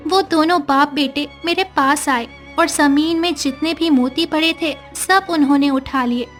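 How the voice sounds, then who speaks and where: A high, sorrowful young mermaid girl tells her story in a cartoon. Her voice is gentle and pleading. Soft music plays faintly behind her. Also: a little slow.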